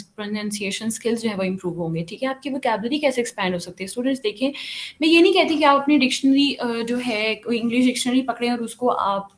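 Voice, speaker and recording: Woman lecturing an online class, slightly high voice, casual code-switching. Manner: switches between Hindi-Urdu and English, frequent fillers. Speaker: English teacher.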